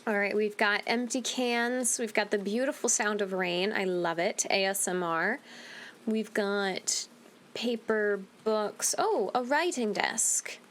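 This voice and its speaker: A woman with a mid-pitched voice gives casual commentary on a game playthrough. She is chatty and informal, tending to ramble. Faint ambient noise sits behind her. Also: slow pace, a bit bright. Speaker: gaming video host.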